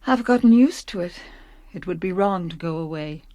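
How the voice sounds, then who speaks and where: A woman's voice: fairly low pitch, lively intonation, normal speed, dark tone, no echo, close to an everyday voice. A woman acting a restless, dissatisfied fisherman's wife in a radio play. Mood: subdued, resigned.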